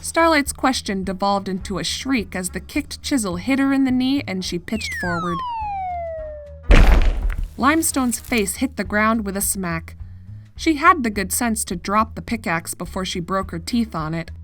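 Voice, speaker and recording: A woman's voice: mid-range voice, huge pitch swings, measured pace, loud music behind the voice. A woman narrating scripted fiction as a storyteller.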